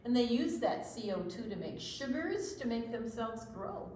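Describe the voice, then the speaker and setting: An older woman reading aloud and explaining things to young children in a deliberate, teacherly, expressive way. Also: on the high side, slight background noise. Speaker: children's storytime presenter.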